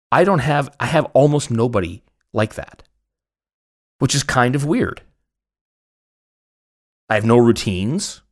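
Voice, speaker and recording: A man with a Canadian accent hosting a podcast, chatting in a casual, rambling way with a joking, self-mocking manner. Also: medium pitch. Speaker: podcast host. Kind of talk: casual, rambling personal chat.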